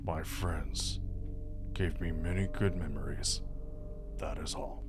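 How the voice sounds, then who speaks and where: A man voicing a big, gentle older bear doctor in a visual novel, speaking in a measured, reassuring, fatherly way. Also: very deep voice, fairly even intonation, voice pushed down. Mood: solemn. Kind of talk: visual novel character read-aloud. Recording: music behind the voice.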